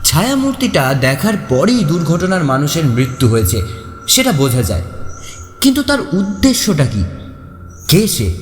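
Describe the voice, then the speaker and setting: Animated male storyteller in long reverb. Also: on the high side, moderate pace, a little hiss or hum. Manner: expressive, suspenseful. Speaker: narrator. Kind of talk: dramatic first-person horror story narration. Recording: echoey long reverb, faint music behind. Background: faint music.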